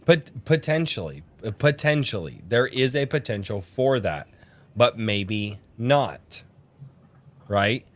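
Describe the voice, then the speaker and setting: A male podcast host chatting casually with a group. His voice sits at an ordinary male pitch, and he talks in a blunt, informal way with casual swearing. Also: lively intonation, slow.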